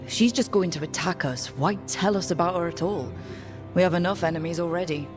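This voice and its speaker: A voice actor playing a down-to-earth adventurer who leads a band of companions. The delivery is plain and wry, in an everyday middle-pitched voice. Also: fairly quick, over music, natural voice. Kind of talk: video game cutscene acting.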